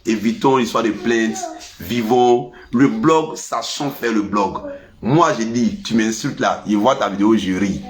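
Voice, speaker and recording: A man with an Ivorian French accent and a cold, talking casually to his followers in a lively, scolding way. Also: measured pace. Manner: lively, scolding, animated pitch jumps. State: has a cold. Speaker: vlogger. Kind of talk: casual monologue to camera.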